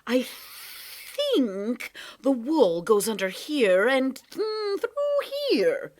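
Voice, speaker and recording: A woman voicing a fussy, flustered male cat creature who stammers and talks in a breathy, fluttery way. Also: sits high, wildly swooping pitch, drawn-out words, darkish tone, pitched above the performer's own voice, heavily acted. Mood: coaxing.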